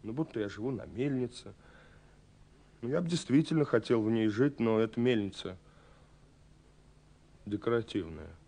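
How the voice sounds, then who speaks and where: Young lovestruck romantic, mid-range male voice, anxious, self-effacing, halting confiding delivery, Soviet radio play style. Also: mid-range voice, vocal fry. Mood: sheepish, confiding. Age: young adult. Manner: agitated, halting, confiding, with swells and hushed drops. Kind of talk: dramatic reading of a love letter, 1970s Soviet radio play.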